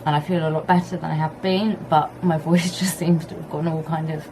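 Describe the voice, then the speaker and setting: A woman chatting casually to camera at home with a husky, hoarse voice, rambling in an easygoing way. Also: low voice, flat delivery, fairly quick. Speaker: vlogger. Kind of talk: casual vlog chat to camera. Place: home on a hot day, fan running. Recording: faint background hum of fan. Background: fan and pressure washer outside.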